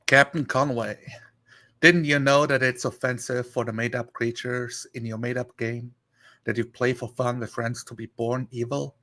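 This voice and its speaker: A man chatting casually on a live stream, rambling and thinking aloud in a halting way. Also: mid-range voice, flat delivery, sharp tone.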